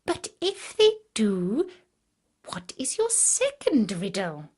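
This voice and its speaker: A woman voicing a very old, kindly but sly grandmother in a fairytale, hushed and deliberate. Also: a little high, wildly swooping pitch, slightly rough, heavily acted. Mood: curious.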